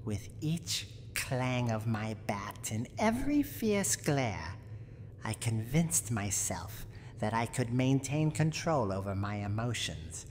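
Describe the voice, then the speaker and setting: In a long, noisy hall reverb, a cranky old granny villain with a very low voice. She speaks haughtily and theatrically, as if from a horror game audio drama, with pitch that swings widely. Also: a little mellow, heavily acted. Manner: menacing, haughty, slow and theatrical. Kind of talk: dramatic scripted roleplay monologue, ASMR audio drama. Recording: long hall reverb, audible background noise.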